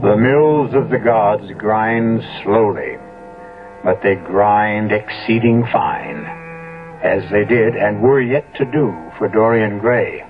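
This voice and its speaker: Lowish slow guy narrating an old radio mystery, grave n ominous, loud music behind. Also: phone-quality sound. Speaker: radio drama host and narrator. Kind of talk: theatrical scripted narration, 1970s radio. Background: loud dramatic music.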